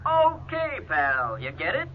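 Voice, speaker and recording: An actor playing a breezy, pompous American know-it-all pal in a 1950s radio drama, glib and slangy. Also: male voice, high voice, wildly swooping pitch, slow pace, exaggerated voice. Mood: smugly certain. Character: cocky, breezy know-it-all pal posing as legal expert. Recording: muffled, hissy 1950s radio recording.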